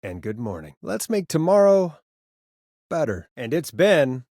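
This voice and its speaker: A man's voice: on the high side, big pitch swings, measured pace, a little mellow, dry recording, studio quality, full character voice. A man acting an earnest, wry late-night radio DJ caught in a crisis in a video game, talking conversationally and sometimes hesitantly. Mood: weary, sympathetic. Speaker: radio host.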